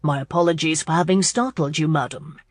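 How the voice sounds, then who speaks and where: A woman's voice: deep voice, measured pace, a little mellow, dry recording, clean studio sound. A woman voicing a suave, amused male duke, polished and courteous, in an audiobook romance. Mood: smooth, amused. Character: suave, amused aristocratic duke, arrogant charmer.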